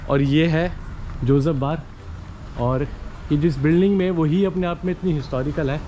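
Travel vlogger, male, 40s, a bit high-voiced, upbeat guide patter, filmed outdoors at arm's length with faint traffic behind. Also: normal speed, dark tone, muffled recording. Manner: chatty, enthusiastic tour-guide talk mixing English words. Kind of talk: walking travel vlog. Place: outdoors on quiet old town streets. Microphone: handheld camera at arm's length. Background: faint street ambience and vehicles.

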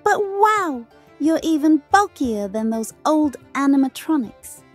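Woman doing a high flirty robot chicken. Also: big pitch swings, a little slow. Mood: teasing. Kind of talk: comic dub acting. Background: music.

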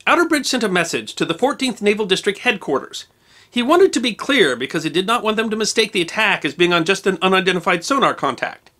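A man narrating a scripted history documentary, measured and precise with dates and figures. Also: high voice, sing-song, bright, forceful tone.

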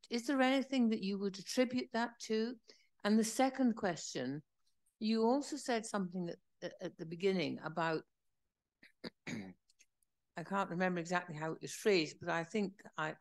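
Hesitant Scottish woman, gravelly voice. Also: low-pitched. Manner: hesitant, restarting phrases. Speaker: audience member. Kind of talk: asking a question.

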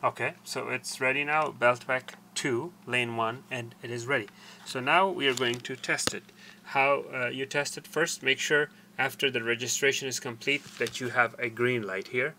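Bright-voiced man giving a halting tech tutorial. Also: mid-range voice, steady tone, slight background noise. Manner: halting, deliberate step-by-step explaining. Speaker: tutorial presenter. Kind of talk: hands-on technical tutorial, explanatory. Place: small cluttered indoor workshop.

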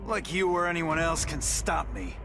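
A man's voice: lively intonation, moderate pace. A man playing a vengeful, cocky speedster supervillain from the future, taunting and theatrical. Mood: cold threatening. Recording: loud game music and rumble behind.

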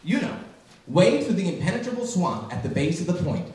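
A man's voice: high-pitched, very animated, fairly quick, darkish tone, far from the mic, a little hiss or hum, voice pushed down. A young man playing an eager, goofy general with a casual, sheepish comic delivery in a live radio-style skit. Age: young adult. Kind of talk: live old-time radio comedy skit. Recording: very echoey room, off the mic.

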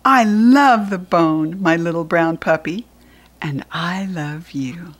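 A woman with an American accent voicing a loving animal mama in a picture book, tender, drawn-out and emphatic. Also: fairly low pitch. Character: loving animal mama praising her baby's gift.

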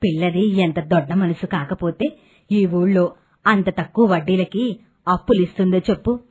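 A woman's voice: lowish voice, normal speed, husky. A woman playing a grateful elderly village woman, speaking in a familiar, blessing manner.

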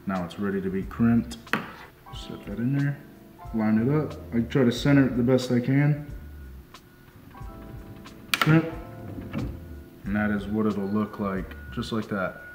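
Young man, slow deliberate talk, roomy shop sound, music underneath. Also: fairly low pitch. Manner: calm, unhurried, repeats "just like so" while working with his hands. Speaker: fishing charter deckhand. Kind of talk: step-by-step how-to demonstration. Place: small indoor tackle shop workbench.

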